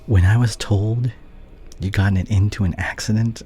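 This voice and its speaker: A man playing a devoted, regretful doctor and anxious ex-boyfriend in an intimate ASMR audio roleplay, speaking haltingly and tenderly. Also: on the low side, steady tone, mellow, slight background noise, lightly acted. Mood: anguished, confessional.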